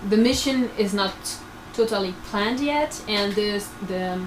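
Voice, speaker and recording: A woman's voice: mid-range voice, measured pace. A woman in her twenties giving explanatory answers as a research crew member, speaking to students. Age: 20s. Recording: noisy room recording.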